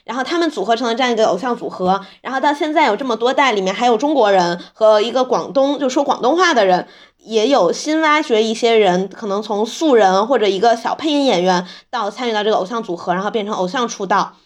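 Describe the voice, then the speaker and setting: Slightly high-voiced woman chatting casually. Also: lively intonation, fast talker. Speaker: podcast co-host. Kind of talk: casual chat about fan culture.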